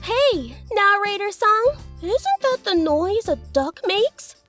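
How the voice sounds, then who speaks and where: A woman's voice: very high-pitched, big pitch swings, takes it slowly, a bit bright, heavily acted. A woman voicing a hapless, earnest young art student in an anime dub, dramatic and easily flustered, with music behind. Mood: sulky.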